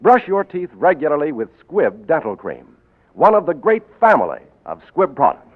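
A male radio announcer reading a commercial in a smooth, upbeat selling manner. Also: a little high, big pitch swings, moderate pace, very noisy. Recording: tinny old radio broadcast.

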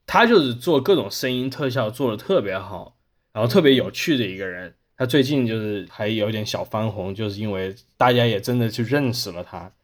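A man's voice: lowish voice, lively intonation, a little fast. A man speaking as a podcast host in casual conversation. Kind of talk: casual chat.

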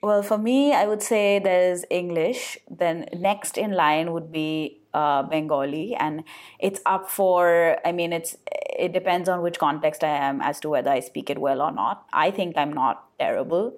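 A woman's voice: lowish voice, a little slow. A woman from India chatting casually, hedging as she thinks aloud. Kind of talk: casual chat.

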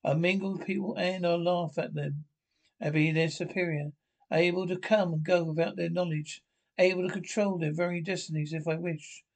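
A man's voice: on the high side, steady tone, moderate pace, creaky, low-quality recording. A man playing an eager, scornful albino inventor in a radio play, insistent and domineering. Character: eager, scornful albino inventor plotting with his invisibility serum. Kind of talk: radio play script read aloud.

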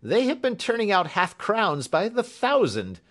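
A man's voice: sits high, wildly swooping pitch, unhurried, a bit bright, voice pushed up, heavily acted. A man voicing a hearty, eager police inspector in an audiobook, confident, jovial and emphatic. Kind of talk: audiobook character acting.

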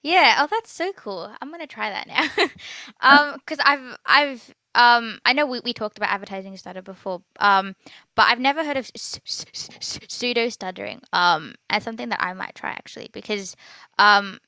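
A teenage girl chatting on her podcast, with frequent ums, small stutters and giggles. Her voice is bright with a gravelly fry. The sound is dry and close to the mic. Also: on the high side, expressive, normal speed, dry recording. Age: teens. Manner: stutters, many fillers and giggles. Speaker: podcast host. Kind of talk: casual chat.

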